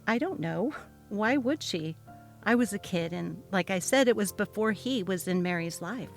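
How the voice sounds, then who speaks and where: A woman's voice: medium pitch, expressive, normal speed, soft background music, lightly acted. A woman voicing a polite, guarded female office worker in a dramatically read story, restrained and plain-spoken. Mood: matter-of-fact. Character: polite, guarded female office employee under a bullying boss. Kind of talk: dramatic reading of a text-message story.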